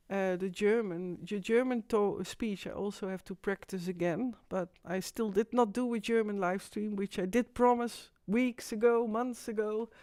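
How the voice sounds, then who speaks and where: A woman's voice: medium pitch, darkish tone, no room sound. Dutch woman rambling on a live stream. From Netherlands. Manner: rambling, halting, with frequent restarts. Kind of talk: casual live stream monologue.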